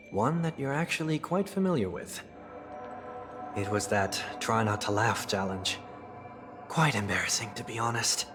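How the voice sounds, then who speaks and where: An actor playing a melancholic, refined young man in a game cutscene, speaking in measured, poetic, wistful phrases. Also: medium pitch, a bit bright, lightly acted. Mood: rueful. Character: melancholic young male fallen idealist, refined and soft-spoken. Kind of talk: dramatic video game cutscene acting. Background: music.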